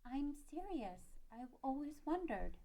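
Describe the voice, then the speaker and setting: A woman's voice: high voice, very animated, a little slow, mellow, exaggerated voice. A woman playing an earnest, tender-hearted young ranch girl, emotive, in an amateur fantasy drama read. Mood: gently curious. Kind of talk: amateur table read of a game-based drama.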